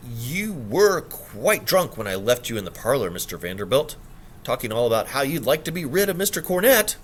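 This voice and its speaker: A man reading a story voices a young male kitchen servant who speaks in a measured, matter-of-fact way. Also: mid-range voice, very animated, slight background noise, close to an everyday voice.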